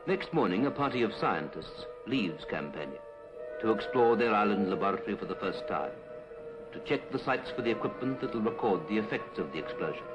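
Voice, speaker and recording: A British man reads a scripted 1950s public information film narration in a formal, measured, clipped manner. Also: lowish voice, big pitch swings, low-quality recording. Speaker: documentary narrator. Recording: 1950s film soundtrack with music.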